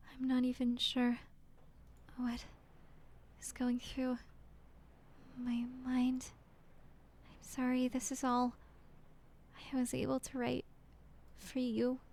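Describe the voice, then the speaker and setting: A woman voicing a heartbroken young woman reading out her farewell letter in a halting, apologetic half-whisper. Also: high-pitched, gravelly, a little mellow, pitched above the performer's own voice, heavily acted.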